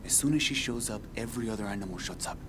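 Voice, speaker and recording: Casting: male actor for wary jungle companion in a game, very low hushed voice over noisy rumble and animals. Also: racing, close to an everyday voice. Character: watchful jungle companion, a grown man, wary and knowing. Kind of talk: naturalistic video game dialogue. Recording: rumble and animal sounds behind voice.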